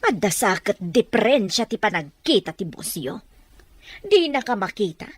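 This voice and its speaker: A woman's voice: mid-range voice, big pitch swings, moderate pace, husky, dry recording. A woman acting a teasing mother who gives advice, in an audio drama. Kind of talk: Ilocano radio drama acting.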